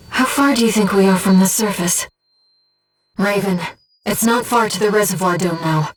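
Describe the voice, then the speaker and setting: A woman voicing a calm, devoted, disembodied female guide in a video game, speaking softly and precisely as she supports a pilot on missions. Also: medium pitch, slightly rough, distant mic. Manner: measured, precise, earnest. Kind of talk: video game voice acting, tactical briefings.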